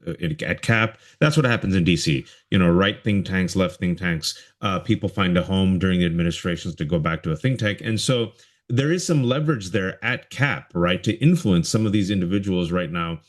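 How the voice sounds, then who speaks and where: A man's voice: low voice, normal speed. A man hosting a political interview in an informal, conversational way, often checking for agreement with short tag questions. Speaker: interview host.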